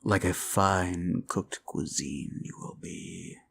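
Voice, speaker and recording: A man playing a sinister, mocking demon in a roleplay ASMR style, slow and theatrical, with a gravelly voice and condescending, drawn-out words. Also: low-pitched, steady tone, a little hiss or hum, exaggerated voice. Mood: sly, taunting. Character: sinister mocking male demon, condescending soul-hungry tempter. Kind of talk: roleplay comfort ASMR acting.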